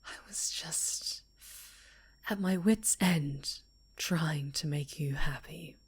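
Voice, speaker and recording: A woman acts out a sinister winged superhero villainess for an ASMR drama. The voice is breathy and husky, with slow, deliberate delivery. The character is possessive and obsessive, speaking with menacing tenderness and theatrical intensity. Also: low-pitched, heavily acted. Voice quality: breathy, whispery. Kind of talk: ASMR roleplay monologue, theatrical voice acting.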